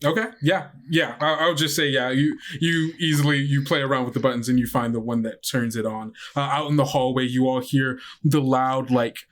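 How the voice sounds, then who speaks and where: A man narrating a tabletop roleplaying game as game master, improvising descriptions in a halting, conversational way. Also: medium pitch, steady tone, fairly bright tone.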